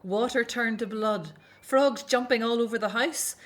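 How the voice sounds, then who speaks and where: A woman's voice: fairly high pitch, a little slow, close to an everyday voice. A woman playing a dutiful, outspoken grown daughter in an audio drama set in ancient Egypt. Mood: weary, complaining.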